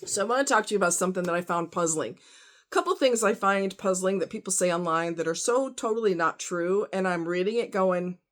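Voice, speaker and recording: A woman's voice: mid-range voice, a little fast. A woman vlogger chatting casually to her audience in a rambling, conversational way with frequent asides. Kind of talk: casual chat to camera.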